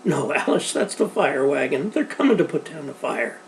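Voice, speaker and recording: A man voicing a young girl, the steady older sister, speaking calmly and urgently to soothe her sibling. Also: fairly high pitch, normal speed, a little hiss or hum, pitched above the performer's own voice.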